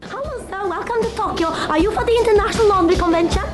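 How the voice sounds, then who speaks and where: A courteous, chirpy hostess in a radio drama. Her voice is extremely high and quick, with loud music behind it. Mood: bright, courteous. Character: polite, chirpy Japanese hostess welcoming visitors. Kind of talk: BBC radio play acting. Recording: radio play under loud soundtrack music.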